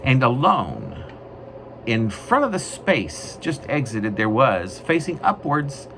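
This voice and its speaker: A man with a mid-range voice that swings widely in pitch speaks as a poet presenting his own work. His phrasing is deliberate and emphatic. The recording is amateur, with clear background noise. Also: wildly swooping pitch. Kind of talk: dramatic poetry reading with casual spoken introduction.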